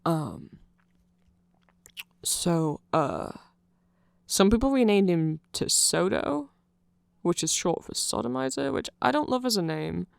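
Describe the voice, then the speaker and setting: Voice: medium pitch, very animated, slow, vocal fry, long pauses, clear, resonant tone, no echo, clean studio sound. A person giving a casual, rambling ASMR explainer in a nerdy, chatty, self-correcting way, like an enthusiastic fan talking to the listener. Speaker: host explaining band lore to the listener.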